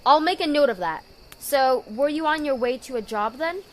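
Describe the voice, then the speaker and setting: A woman's voice: sits high, lively intonation, moderate pace. A woman playing a composed officer in an audio drama, questioning in a formal, polite, businesslike way. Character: composed, polite female officer taking statements. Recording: clear background noise, crickets.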